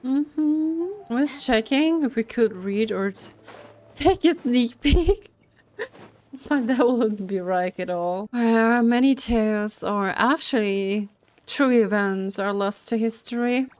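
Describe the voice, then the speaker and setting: A woman game streamer giving casual playthrough commentary, musing aloud in a halting way. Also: a little high, darkish tone. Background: faint game music.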